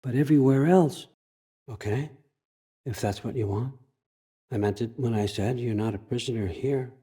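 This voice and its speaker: A man's voice: medium pitch, moderate pace, dark tone, slightly rough. An older American man acting in a video game as a scheming, controlling old father god, wry, folksy and conversational as he manipulates. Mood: quiet, controlled.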